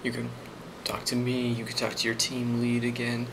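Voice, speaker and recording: A man playing a friendly, rambling office boss in an ASMR role play, speaking softly and hesitantly with a reassuring manner and a creaky voice. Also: mid-range voice, monotone, normal speed, natural voice. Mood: calm, reassuring. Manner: soft-spoken, hesitant, reassuring. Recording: loud constant background noise.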